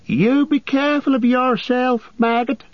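A man voicing a fussing farmer's wife, high. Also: steady tone, measured pace, no room sound. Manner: calling out, fussing. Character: farmer's wife, anxious and scolding. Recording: dull lo-fi audiobook recording.

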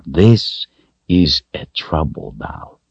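A man acts out an elderly, kindly wizard in a fantasy storybook. The voice is low and slightly husky, soft-spoken and unhurried, with a swooping, reassuring gentleness. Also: sing-song, no echo, highs cut off, full character voice. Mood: warm encouragement. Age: older.

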